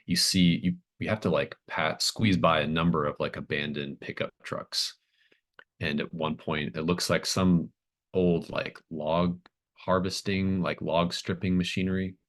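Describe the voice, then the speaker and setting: A man working as game master, improvising horror scene descriptions in a halting, thoughtful way. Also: low voice, fairly even intonation, fairly bright tone.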